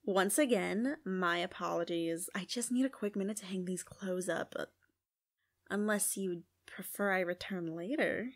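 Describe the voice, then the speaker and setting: A woman's voice: mid-range voice, a little slow. A woman playing a sly, gossiping castle maid in an audio drama, with a theatrical, teasing manner. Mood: apologetic, flustered.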